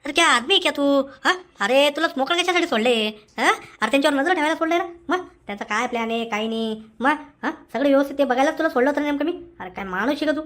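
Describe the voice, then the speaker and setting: Someone doing a cocky cartoon gangster boss, high voice, bossy n suspicious, lots of questioning grunts. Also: expressive, quick pace, few pauses, fairly bright tone. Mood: scolding, bossy. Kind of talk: comic cartoon dialogue in Marathi mixed with street Hindi.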